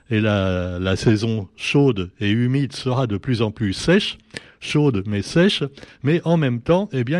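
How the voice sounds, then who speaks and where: A man's voice: medium pitch, darkish tone, dry recording. A man giving a joking, sarcastic review of the local press on radio, full of ironic asides.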